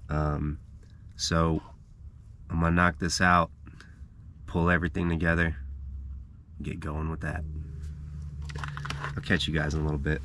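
A man in his thirties casually narrating a camp breakfast to the camera from inside a parked van, chatty and relaxed. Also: a bass voice, unhurried, pauses often, noisy background. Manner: relaxed, chatty, walks through each step. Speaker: travel vlogger. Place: inside a parked van, small enclosed space. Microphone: camera mounted in the vehicle.

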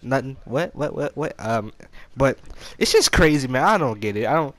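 A young adult American man speaks as a casual gaming YouTuber telling a story. His delivery rambles along in loose slang, with an exasperated edge, at an ordinary middle pitch. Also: lively intonation, moderate pace, fairly bright tone, no echo. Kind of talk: casual storytime chat over gameplay.

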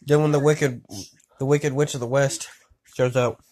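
A man telling an improvised story to friends in a casual, crude and excitable way, repeating himself. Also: medium pitch, normal speed. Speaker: amateur storyteller. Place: indoors at home. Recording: noisy amateur handheld recording, clicks.

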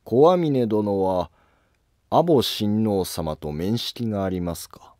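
A man playing a distrustful, resolute court noble with deliberate formal speech in a historical drama. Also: lowish voice, expressive, mellow, dry recording, clean studio sound. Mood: resolute, secretive. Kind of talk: scripted historical drama dialogue.

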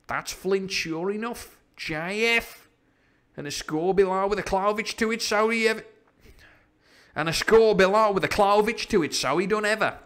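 A man voicing a gleeful pirate in a storybook reading, speaking in rough, rustic sailor's talk. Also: high-pitched, moderate pace, a bit bright, pitched above the performer's own voice. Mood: gleeful, marvelling. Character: gleeful male pirate poring over a treasure map.

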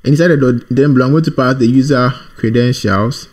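A man with a mid-pitched voice walks through a coding tutorial in an informal way. He thinks aloud as he works, halting between short phrases and often repeating himself. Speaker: programming tutorial presenter.

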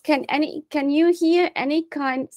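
A woman in her forties speaks English with a Hungarian accent, her first language being Hungarian. Her voice is high, and she often stops and restarts her sentences. The sound through her earphone mic is dry and close. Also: fairly even intonation, measured pace, darkish tone, no room sound, dull audio. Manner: hesitant, restarting, curious, laughing lightly. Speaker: meeting moderator. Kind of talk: casual chat on a video call. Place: small room at home, indoors. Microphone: earphones with a cable mic on a video call.

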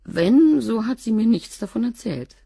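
A woman's voice: fairly high pitch, expressive, moderate pace, creaky, mellow, slightly rough, no room sound, full character voice. An actress playing a blunt, evasive cleaning woman in a radio drama who gives curt answers. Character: blunt, evasive older charwoman. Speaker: cleaning woman being questioned.

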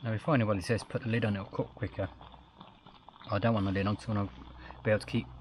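Older male outdoor vlogger, lowish voice, easygoing chat while eating, faint outdoor background. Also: very fast, slight background noise. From Australia. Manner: chatty and relaxed, talks while eating. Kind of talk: casual camp-cooking review. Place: outdoors in bushland at a picnic table. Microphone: camera across the table.

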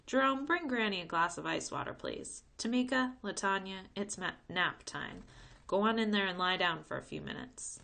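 Folksy grandmother voiced by a woman, creaky voice. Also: mid-range voice, expressive, normal speed, pushed and bright. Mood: gently firm. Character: warm, weary grandmother telling of old times.

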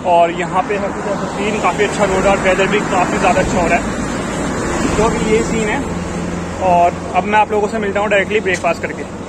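A man's voice: high voice, steady tone, fairly quick. A young man from the Delhi area vlogging outdoors, talking earnestly and loosely in a casual mix of Hindi and English with fillers. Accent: Hindi-English mix, Delhi region. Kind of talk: casual vlog talk to camera. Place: outdoors by a roadside cafe. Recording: loud traffic noise outdoors.

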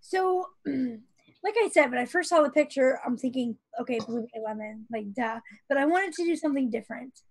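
A woman's voice: high voice, normal speed, creaky. A woman panelist on a video call, presenting a recipe in casual, explanatory talk. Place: bedroom at home.

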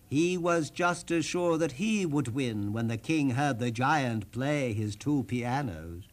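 A man hosting as storyteller, reading a children's storybook expressively with theatrical, dramatic pauses. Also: mid-range voice. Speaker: storyteller, TV host.

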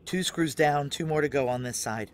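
A woman's voice: low voice, moderate pace, lightly acted. An actress playing a tense, hard-boiled woman narrating a noir audio drama in terse present-tense steps. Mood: tense, focused. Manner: terse, step-by-step.

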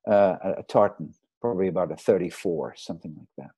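A man, a sailing photographer, giving a relaxed, rambling slideshow talk over a video call. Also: low voice, fast, slightly rough, no echo. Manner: rambling, relaxed, with fillers and restarts. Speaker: marine photographer giving a lecture. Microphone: video call mic.

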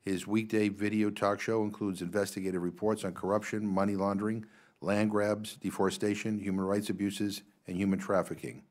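A low-pitched man in his sixties with a Massachusetts accent reads out speaker introductions at a congressional hearing. He halts often with "uh" and trips over unfamiliar names. He speaks into a desk microphone in a big, formal hearing room. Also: little pitch movement, a little mellow. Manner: halting, filler-heavy reading, stumbles on names. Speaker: congressional commission co-chair.

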